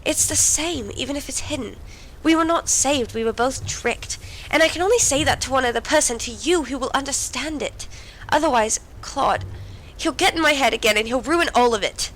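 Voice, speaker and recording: A woman's voice: high-pitched, normal speed, fairly bright tone, rough recording. A woman playing an earnest, wounded, devoted boy in an audio drama, speaking imploringly and intimately. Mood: confessing, urgent. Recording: faint background noise and ambience.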